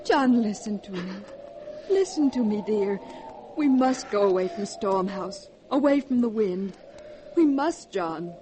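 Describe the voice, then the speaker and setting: An actress playing an anxious, loving young wife in a 1940s radio drama, with a breathless, emotional delivery. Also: female voice, medium pitch, animated, a little slow, lightly acted. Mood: pleading. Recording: old radio broadcast with faint hiss.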